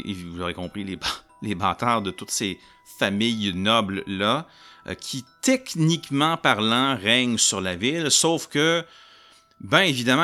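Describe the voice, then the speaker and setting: A man with a Québécois accent narrating a solo tabletop roleplaying game in an animated, jokey way and talking to his audience. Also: lowish voice. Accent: Québécois French.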